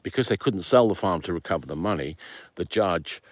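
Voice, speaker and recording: A man's voice: deep voice, animated, fairly quick, dry recording. A middle-aged man from Venezuela telling his life story in a rambling, casual way with many ums and uhs. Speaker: channel host telling his background.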